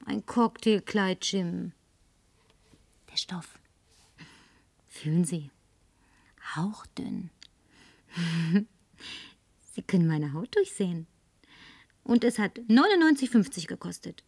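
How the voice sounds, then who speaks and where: An actress in a German radio play playing a flirtatious, tipsy, insistent career woman with coquettish, languid phrasing and breathy murmurs. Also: on the low side, expressive, slow, long pauses, darkish tone, no room sound, heavily acted. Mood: seductive.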